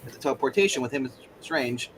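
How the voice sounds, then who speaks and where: A man in casual tabletop game chat, narrating in a rambling, joking, animated way. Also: mid-range voice, fast talker, slight background noise. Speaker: player narrating in a roleplay game.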